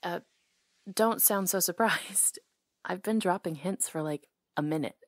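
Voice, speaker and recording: A woman acting out a flirty, tender small-town salon owner in an ASMR romance. Her voice is soft and breathy with a lot of fry, recorded very close and dry. She teases and hesitates as she talks intimately to someone she adores. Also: on the low side, dry recording. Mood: playful, affectionate. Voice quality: soft, breathy, sometimes whispery. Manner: teasing, hesitant pauses, intimate.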